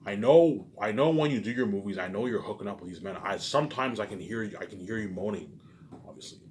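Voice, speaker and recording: Low-pitched man, rambling over background noise. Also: lively intonation, fast. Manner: repetitive, with emphatic bursts trailing into muttered tags; frequent swearing. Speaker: vlogger. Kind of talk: rambling casual monologue. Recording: clearly noisy background.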